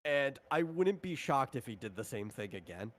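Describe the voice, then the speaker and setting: Man, medium pitch, game master type explaining options in relaxed stream chat with frequent asides. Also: normal speed. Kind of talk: casual explanatory stream talk.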